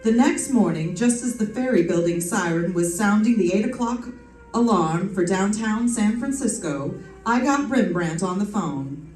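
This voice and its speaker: A woman's voice: medium pitch, lively intonation, moderate pace, a little mellow, lightly acted. A woman playing a wisecracking, breezy female private detective, wry and playful, in 1940s radio drama style. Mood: brisk storytelling. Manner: wry, playful, theatrical delivery. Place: live stage performance in a room. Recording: roomy stage, distant mic, music behind.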